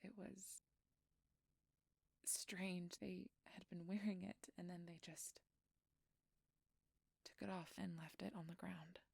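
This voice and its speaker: A woman playing a frightened, guilt-ridden changeling fugitive in an intimate fantasy audio roleplay, with a halting, emotional delivery. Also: fairly low pitch, little pitch movement, gravelly, darkish tone, slightly rough, dry recording, heavily acted. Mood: shaken, hushed.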